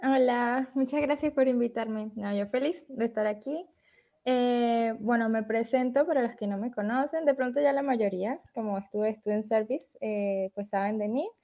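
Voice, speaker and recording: A woman's voice: a little high, moderate pace. A young woman from Latin America, a translator and podcast guest, telling stories in a chatty way and laughing often. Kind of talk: casual podcast interview. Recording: dull lo-fi audio.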